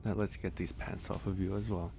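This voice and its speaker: A man voicing a gentle, teasing werewolf in an intimate, soft-spoken ASMR roleplay, with a gravelly, unhurried delivery. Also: low-pitched, level tone, background noise. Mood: caring. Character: gentle, teasing male werewolf caring for a guest.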